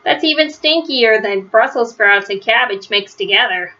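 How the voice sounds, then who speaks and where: A woman's voice: on the high side, lively intonation, normal speed, bright, forceful tone, dull audio. A woman voicing a helpful, easygoing father in a storybook, reassuring and conversational. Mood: wry.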